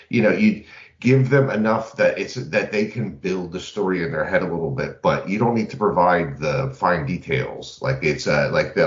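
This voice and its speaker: Male co-host, deep voice, informal rambling game chat, roomy and slightly muffled recording. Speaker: podcast co-host. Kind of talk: casual panel chat about games. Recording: roomy, dull lo-fi audio.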